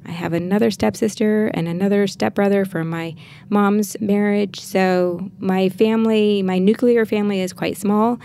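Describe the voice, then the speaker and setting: A woman's voice: medium pitch, steady tone, normal speed, vocal fry, dark tone. A woman from Kansas with an American accent, a podcast guest talking reflectively about personal experiences in conversation. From Kansas, United States. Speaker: interview guest, art teacher.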